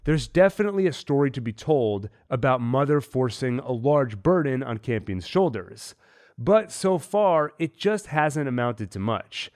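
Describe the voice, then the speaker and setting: Man, has a cold, recap host, measured, analytical, mid-pitched voice. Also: sing-song, darkish tone. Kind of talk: TV episode recap and theories.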